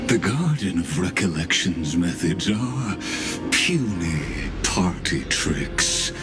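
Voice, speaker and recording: Casting: courteous but menacing male villain, game role, very low and unhurried, over loud music. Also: big pitch swings, full character voice. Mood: scornful. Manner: deliberate, formal, condescending. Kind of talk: video game voice acting.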